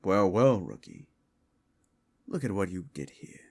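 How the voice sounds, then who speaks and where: A man voicing a gruff, seasoned demon monster hunter in an action-horror audio roleplay, with a gravelly voice and a wry, laconic, protective manner. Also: low-pitched, very animated, a little slow, full character voice. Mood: wry, relaxed.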